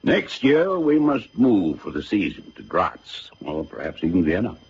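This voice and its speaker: A man's voice: low voice, wildly swooping pitch, a little slow, vocal fry, slightly rough, full character voice. An actor playing a kindly, courtly older father in a radio drama, genteel and musing, with a slightly hesitant delivery. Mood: fond, teasing. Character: kindly, courtly, self-deprecating aging father. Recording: muffled vintage radio recording, faint noise.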